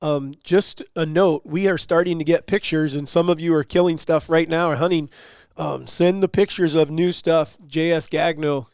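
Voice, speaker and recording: A man's voice: fairly high pitch, steady tone, normal speed, darkish tone, clear, resonant tone, no room sound, clean studio sound. Nasal man with a cold, chatty host. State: slightly nasal from a runny nose. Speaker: podcast co-host. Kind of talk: casual podcast chat.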